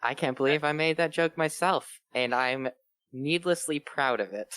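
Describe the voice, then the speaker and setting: A man's voice: on the high side, moderate pace, a bit bright, clear, resonant tone, no echo. A man chatting casually and riffing with dry, absurd jokes. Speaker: co-host of a comedy reading show. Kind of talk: casual joking banter.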